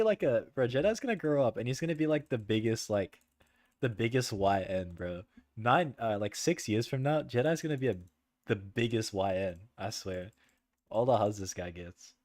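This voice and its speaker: A young man streaming and chatting loosely with viewers, rambling with lots of "like" and "bro" and frequent laughter, in a soft voice. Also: medium pitch, dry recording. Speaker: livestreamer. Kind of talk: casual chat with stream viewers.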